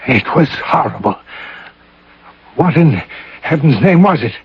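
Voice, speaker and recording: An actor playing a shaken, anxious professor with a hesitant, fearful manner in an old-time radio drama. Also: male voice, fairly high pitch, expressive, measured pace, husky, heavily acted. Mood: horrified. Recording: muffled old radio recording.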